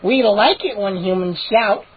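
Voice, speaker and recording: A reader voicing an excitable, prankish male gnome who eggs on the shouting, in a lo-fi audiobook recording. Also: medium pitch, slightly rough. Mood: urging. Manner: shouty, eager, drawn-out delivery.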